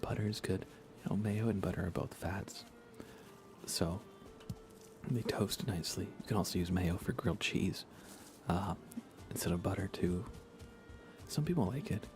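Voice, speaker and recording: A soft-spoken man chatting casually on an ASMR gaming livestream, rambling unhurriedly and often slipping toward a whisper. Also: sits low, normal speed, long pauses, soft background music. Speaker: livestreamer playing a video game.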